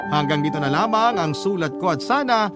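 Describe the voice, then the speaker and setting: A man's voice: fairly high pitch, animated, fairly quick. A man hosting a radio story show, reading a letter as scripted narration in an earnest, sentimental way. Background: loud instrumental music.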